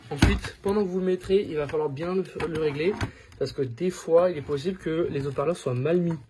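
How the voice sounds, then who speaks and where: A man's voice: a little high, fairly quick, background noise. A man presenting a product in a casual hands-on tutorial, informal and thinking aloud as he goes.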